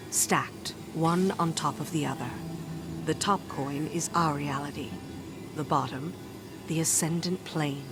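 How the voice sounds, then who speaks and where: An actress playing a serious, commanding strategist in a video game, giving a measured, explanatory mission briefing. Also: female voice, low-pitched. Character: grave, commanding woman strategist briefing a soldier.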